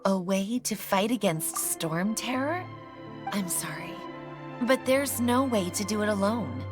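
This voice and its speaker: A woman voicing a languid, teasing librarian mage in a video game, with a knowing, relaxed manner. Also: mid-range voice, a little slow, close to an everyday voice. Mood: coy, relaxed. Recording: loud game music underneath.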